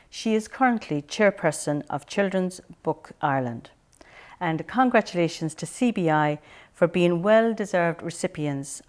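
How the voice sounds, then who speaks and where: A woman presenting an event, reading a formal, scripted introduction. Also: on the low side. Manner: formal, read-aloud delivery.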